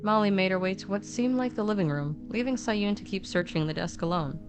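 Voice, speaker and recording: A woman's voice: medium pitch, a little fast, music in the background. A woman narrating a story conversationally, informal and adding her own comments as she goes.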